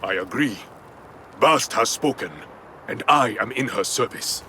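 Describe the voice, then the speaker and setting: A man's voice: mid-range voice, unhurried, pushed and bright, a little hiss or hum. A man playing a stern, noble, devout warrior in a video game, formal and commanding.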